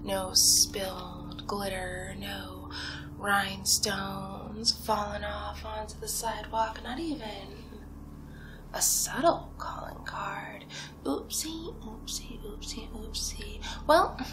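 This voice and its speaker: A woman's voice: mid-range voice, fairly even intonation, takes it slowly, background noise. A woman performing ASMR roleplay as a playful, possessive villainess kidnapper, teasing and cutesy as she drifts in and out of whispers.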